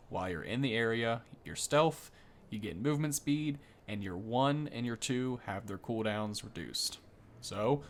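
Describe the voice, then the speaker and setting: A man's voice: medium pitch, slight background noise. A man hosting a gaming video, giving casual, easily impressed first-look commentary that rambles and corrects itself.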